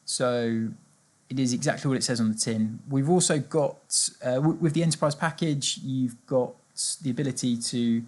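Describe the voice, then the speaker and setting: A man presenting an informal software walkthrough, hesitant and often repeating words mid-sentence. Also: mid-range voice, moderate pace. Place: screen-capture demo voice-over.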